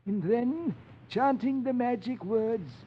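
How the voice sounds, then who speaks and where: A man voicing a little old eccentric sorcerer-scholar in a halting, absent-minded manner for a 1950s radio comic-strip reading. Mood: fussy, incantatory. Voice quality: high, thin old-man voice. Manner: halting, hesitant, with little uhs. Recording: muffled, noisy old radio recording.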